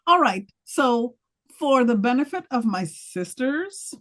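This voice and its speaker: A woman's voice: on the high side, big pitch swings, slow, fairly bright tone. Hesitant woman on a video call. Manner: hesitant, full of fillers. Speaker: prospective client.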